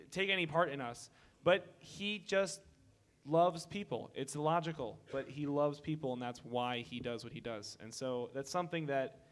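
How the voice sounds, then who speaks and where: A man talking casually as a panelist in front of a convention audience. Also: on the high side. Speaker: convention panelist from a radio drama team. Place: convention meeting room.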